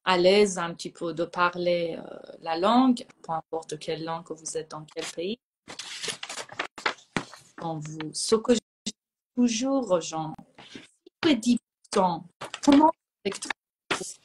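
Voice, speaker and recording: A woman from Iran whose first language is Persian, a psychologist chatting as a guest in a calm, reflective way and often pausing to find her words. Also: on the low side, background noise. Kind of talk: casual conversation.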